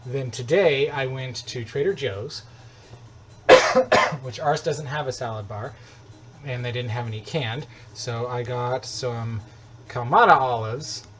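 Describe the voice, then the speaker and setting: A man from the United States hosting a live cooking stream, talking casually and rambling with joking asides as he cooks in a home kitchen. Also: medium pitch. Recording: clear kitchen sizzle and frying noise.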